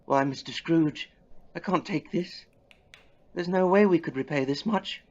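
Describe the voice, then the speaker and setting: A man voicing a poor married woman, quiet, meek and respectful, in a Victorian audio play. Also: a little high, a little slow, background noise, natural voice. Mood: surprised, grateful. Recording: dull lo-fi recording.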